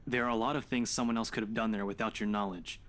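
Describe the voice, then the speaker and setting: A woman's voice: very deep voice, fairly even intonation, fast, pitched below the performer's own voice. A woman voicing a cool, skeptical young man in a visual novel, dry and aloof with a teasing streak. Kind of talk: visual novel character read-aloud. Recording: background music behind voice.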